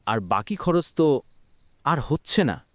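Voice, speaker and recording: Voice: mid-range voice, big pitch swings, slow pace, a little hiss or hum. An actor voicing a poor, weary boatman husband in a cartoon, speaking in an earnest, resigned manner. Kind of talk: dramatic cartoon voice acting.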